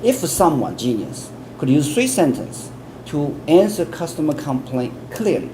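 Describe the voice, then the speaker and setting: A man's voice: fairly high pitch, sing-song, unhurried. A man with a Chinese accent giving interview answers as an English test expert, earnest, often repeating words and restarting. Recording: air conditioning hum in background.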